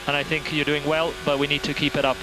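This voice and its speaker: A man's voice: on the high side, monotone, fast. A man working as a race engineer, giving clipped, repeated instructions over team radio. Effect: team radio transmission. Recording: noisy radio link, car noise. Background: racing car and vehicle noise.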